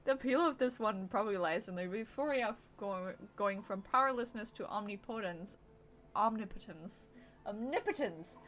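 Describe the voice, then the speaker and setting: A woman's voice: medium pitch, expressive, normal speed, fairly bright tone, slight background noise. A woman game streamer, a non-native English speaker, reading dialogue aloud and commenting playfully. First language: not English. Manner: playful, self-interrupting. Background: video game music.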